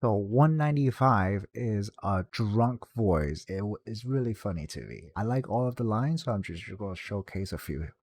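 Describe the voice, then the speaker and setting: Female video narrator: notably low, dark tone, casual showcase delivery. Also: expressive, moderate pace, few pauses, breathy edge, dry recording. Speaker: video presenter.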